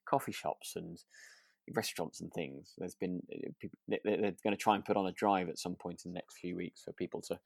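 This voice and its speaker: A man's voice: deep voice, steady tone, brisk, gravelly, no room sound. A man chatting casually as a podcast co-host, hesitant and often correcting himself. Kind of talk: relaxed casual chat about a radio drama.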